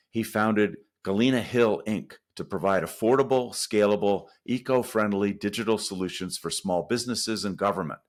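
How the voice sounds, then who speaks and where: Low-voiced male podcast host reading an intro. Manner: steady, listing and announcing. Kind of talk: scripted intro read.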